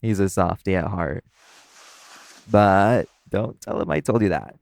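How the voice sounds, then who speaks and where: Guy doing a chill teasing club performer for an audio rp, lowish gravelly voice, super casual. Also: a little slow, a little mellow, dry recording, clean studio sound. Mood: relaxed, confiding. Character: easygoing, teasing male club performer chatting with new coworker. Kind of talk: intimate audio roleplay, casual conversational acting.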